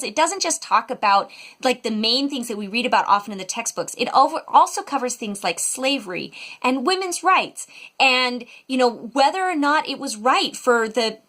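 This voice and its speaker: Bright, high-voiced enthusiastic American woman. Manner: enthusiastic, chatty, emphatic. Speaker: homeschool mom reviewing educational resources. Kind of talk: casual video product review.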